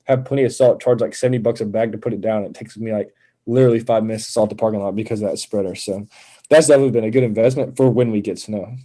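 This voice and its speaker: Young man with a dark, mellow voice. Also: on the low side, fairly even intonation, racing. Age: young adult. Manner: informal, earnest. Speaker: podcast guest, landscaping business owner. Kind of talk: casual chat.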